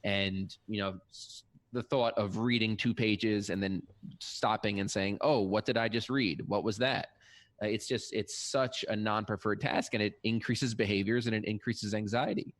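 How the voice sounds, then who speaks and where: A man with a fairly low voice talks as a podcast co-host. His delivery is conversational, like a discussion among colleagues. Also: fairly even intonation, moderate pace. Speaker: therapist working with students.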